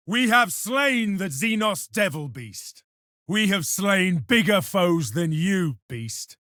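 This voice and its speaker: A man's voice: high-pitched, animated, slow pace, pushed and bright, no room sound, studio quality, heavily acted. An actor playing a solemn, proud space marine who declaims his lines in a video game. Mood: grim contempt. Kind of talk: video game combat barks.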